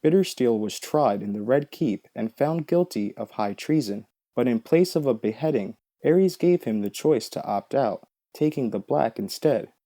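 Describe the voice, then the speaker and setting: Casting: male lore narrator, dark soft tone, medium pitch, factual and explanatory, scripted read. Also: lively intonation, moderate pace, hardly any pauses. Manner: explanatory, matter-of-fact.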